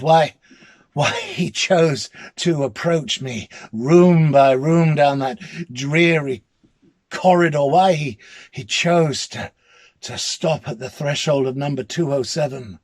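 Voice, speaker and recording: A man giving a slow, halting dramatic first-person reading of a story, with a husky voice. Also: on the high side. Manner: hesitant, halting, repeating words.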